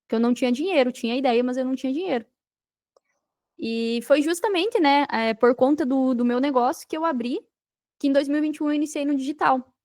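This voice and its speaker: A woman's voice: sits high, a little mellow, no room sound, clean studio sound. A woman with a Brazilian Portuguese accent talking as a podcast guest, telling her story emphatically with repeated phrases and rhetorical questions. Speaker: podcast guest, digital marketing entrepreneur. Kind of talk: conversational interview storytelling.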